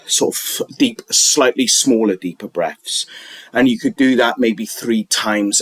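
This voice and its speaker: A man talking unscripted, giving wellness advice in a halting, rambling way with many restarts and fillers. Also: fairly low pitch, breathy edge, no echo.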